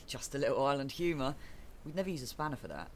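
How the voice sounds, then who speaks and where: An actor playing a chatty, sinister mechanic's sidekick in an audio drama who sounds falsely reassuring. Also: male voice, medium pitch, sing-song, fast talker, slight background noise, natural voice.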